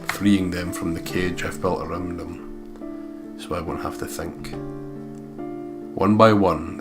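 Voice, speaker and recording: A low male voice reads visual novel narration aloud as a let's play host, with casual, playful asides. Clearly audible guitar music plays underneath him. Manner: playful, breaks into laughter and asides.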